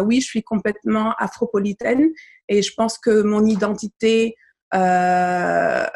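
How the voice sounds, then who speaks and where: A woman's voice: mid-range voice, creaky. A young woman from Mali, a podcast guest talking casually and thoughtfully, with many hesitations. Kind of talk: casual interview chat.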